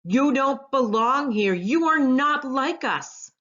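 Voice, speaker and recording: A big, proud bully of a crab played by a woman reading a storybook, bellowing and bossy. The delivery is slow and forceful, and the recording sounds dull and lo-fi. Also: sits high, lively intonation, pitched above the performer's own voice, heavily acted. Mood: bullying, angry. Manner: bellowing, yelling, commanding.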